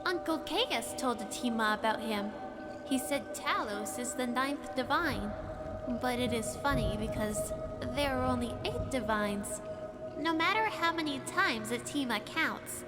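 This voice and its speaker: A woman's voice: high-pitched, animated, measured pace. A woman voicing a naive, sweet young Khajiit cat-girl in a fantasy video game, speaking in simple, childlike phrases about herself in the third person. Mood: curious, puzzled. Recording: game audio with loud music.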